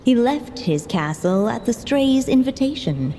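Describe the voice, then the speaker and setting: A woman tells a fairy tale in a slow, calm, mellow voice, pitched a little low. The game audio is echoey, with faint ambience behind her. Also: slight background noise. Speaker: storybook narrator. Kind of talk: scripted fairy-tale narration.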